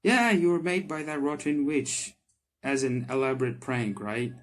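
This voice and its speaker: A man voicing a wry, grumpy but softening friend in a video game, dry and casual, with music behind. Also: mid-range voice, normal speed, close to an everyday voice. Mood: dry, humouring. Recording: background music under the voice.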